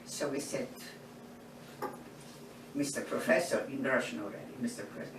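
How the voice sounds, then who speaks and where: Elderly woman, far from the mic. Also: rumbling low. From eastern Poland. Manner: unhurried storytelling with frequent restarts and asides. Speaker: Holocaust survivor interviewee. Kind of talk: oral history testimony. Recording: roomy, distant mic, background noise.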